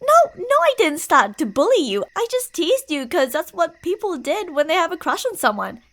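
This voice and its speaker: A woman's voice: extremely high pitch, big pitch swings, moderate pace, fairly bright tone, heavily acted. A woman playing a possessive, delusional young yandere bully in an ASMR roleplay, teasing, insistent and emotionally volatile.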